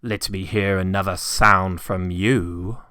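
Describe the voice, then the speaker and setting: A man's voice: deep voice, steady tone, slow pace, sharp tone, smooth, no room sound, clean studio sound, pitched below the performer's own voice, heavily acted. A man voicing a stern, scornful, miserly old uncle in a storybook reading. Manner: indignant, scornful, emphatic.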